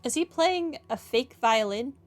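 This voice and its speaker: A woman's voice: a little high, lively intonation, unhurried. A woman giving casual, reactive commentary during a video game playthrough.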